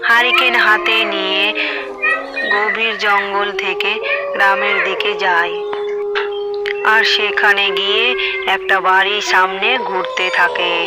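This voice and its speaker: Woman storyteller over loud music. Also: medium pitch, steady tone, unhurried, pauses often. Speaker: narrator. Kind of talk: scripted horror cartoon storytelling.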